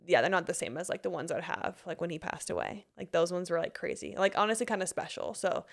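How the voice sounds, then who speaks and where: A woman guest in a casual interview chat, slightly losing her voice, talking in a relaxed way full of "like" and trailing off. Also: lowish voice, fast, gravelly. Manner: relaxed, many "like" fillers, trailing off. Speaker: podcast guest, author.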